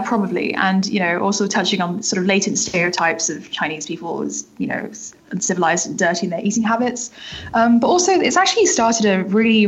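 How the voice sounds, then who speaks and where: Husky woman explaining, lo-fi audio. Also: medium pitch. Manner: hedging, filler-heavy explanations. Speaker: guest journalist. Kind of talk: interview explanation. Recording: dull, lo-fi audio.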